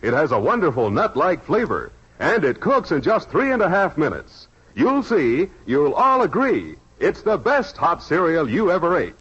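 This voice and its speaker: Male vintage ad announcer, highly animated and husky, dull radio recording. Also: moderate pace, few pauses. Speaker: commercial announcer. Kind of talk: 1950s radio cereal ad.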